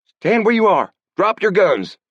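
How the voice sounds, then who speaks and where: A man voicing a shrill, harsh-voiced pet parrot that squawks out its lines. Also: sing-song, measured pace, slightly rough, dry recording, heavily acted. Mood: squawking. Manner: squawking, loud. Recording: dull lo-fi dry audiobook recording.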